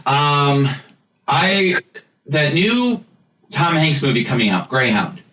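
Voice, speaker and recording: A man's voice: fairly high pitch, big pitch swings, slow pace, pushed and bright, far from the mic, a little hiss or hum. Laughing Chicago man in an echoey room. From United States. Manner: laughs often, rambling and self-mocking. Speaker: podcast host. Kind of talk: casual chat.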